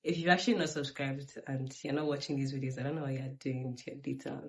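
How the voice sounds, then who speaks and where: A woman's voice: very deep voice, little pitch movement, fast talker. A woman with a Nigerian accent explaining things casually and conversationally as a panelist. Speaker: panelist on a history discussion series. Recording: music playing behind the voice.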